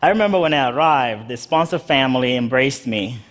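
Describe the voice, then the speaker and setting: A man from Uganda telling his life story to an audience in a humorous, animated way. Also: brisk. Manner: humorous storytelling with lively pitch swings.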